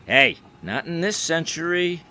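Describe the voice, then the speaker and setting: An actor playing a brash younger 1920s sportswriter with a sarcastic, needling manner. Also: male voice, a little high, expressive, measured pace, bright, forceful tone, slight background noise, full character voice. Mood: mocking.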